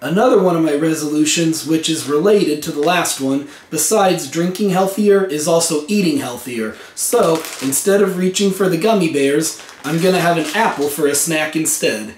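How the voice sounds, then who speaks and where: A young man talks to camera for his home vlog, his voice hoarse and worn from a cold. He sits fairly high for a man, and the room around him rings with echo. He speaks earnestly and plainly. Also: normal speed, few pauses, a little hiss or hum. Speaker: vlog host. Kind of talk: casual vlog talk to camera. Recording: echoey room.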